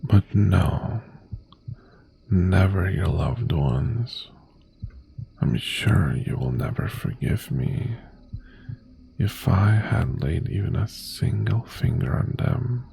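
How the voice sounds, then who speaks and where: Casting: male actor as menacing, obsessive crime-boss ex-lover for ASMR roleplay; low-pitched, heavily creaky half-whisper, possessive and tender. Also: expressive, a little hiss or hum, full character voice. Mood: coaxing, possessive. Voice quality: gravelly, breathy half-whisper. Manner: slow, deliberate, softly threatening. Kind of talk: boyfriend ASMR roleplay, intimate monologue.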